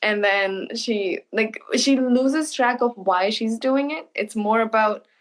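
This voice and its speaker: A woman author being interviewed, talking casually and thoughtfully, often restarting her sentences. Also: fairly high pitch. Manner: thoughtful, halting with restarts and soft laughs.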